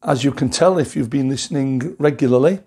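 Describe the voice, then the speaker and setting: Croaky-voiced man preaching. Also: medium pitch, a little fast, warm tone. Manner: rambling, thoughtful, many fillers and restarts. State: hoarse, croaky from a cough. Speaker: Methodist preacher. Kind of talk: recorded online sermon.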